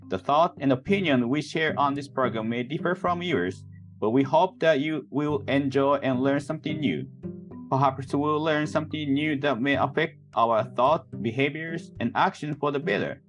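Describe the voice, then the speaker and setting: A man's voice: medium pitch, moderate pace, soft background music. Japanese-accented man hosting a chat. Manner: careful, simple phrasing. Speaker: head minister and podcast host. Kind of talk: casual podcast chat.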